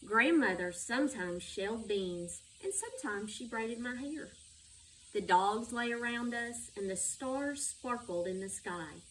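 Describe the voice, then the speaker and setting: Woman, children's read-aloud, slightly high voice, faint background noise. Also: expressive, measured pace. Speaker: school superintendent reading a children's book. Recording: distant microphone with background noise.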